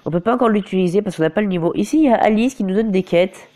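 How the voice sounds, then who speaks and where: A man's voice: high voice, very fast, dark tone. A man with a cold and a sore throat, giving casual gameplay commentary on a phone recording, often stumbling and correcting himself. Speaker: mobile game commentator. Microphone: phone screen recorder.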